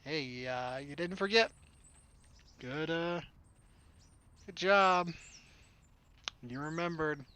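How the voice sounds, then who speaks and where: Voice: mid-range voice, slow, long pauses, pushed and bright, slight background noise. A game streamer reading a story aloud as narration, with casual, wry asides. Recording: background music behind the voice. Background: game music.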